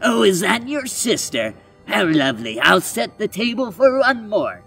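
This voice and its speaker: Storybook witch, played by a man: elderly woman, lonely and cheerful, raised pitch, flowery manners, slightly distant recording. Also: big pitch swings, measured pace, creaky, fairly bright tone, breathy edge, faint music behind, full character voice. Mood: delighted, welcoming. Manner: flowery, over-polite speech.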